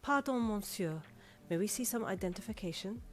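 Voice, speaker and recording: A woman's voice: medium pitch, lively intonation, normal speed, pitched above the performer's own voice, natural voice. A woman voicing a pompous, formal male waiter. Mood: pompous, polite.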